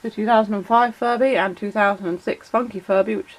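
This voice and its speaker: Croaky woman with a cold rambling about her toys, lots of ums, normal pitch. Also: steady tone, brisk, rough recording. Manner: chatty, many fillers, reads out shout-outs. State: croaky voice from a cold, sore throat. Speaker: toy collector vlogger. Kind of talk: casual explanatory vlog.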